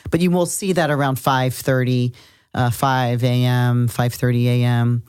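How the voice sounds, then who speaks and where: Very low-voiced woman, casual podcast host. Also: level tone, measured pace, darkish tone, clean tone, no echo, studio quality. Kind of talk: casual conversational astrology talk.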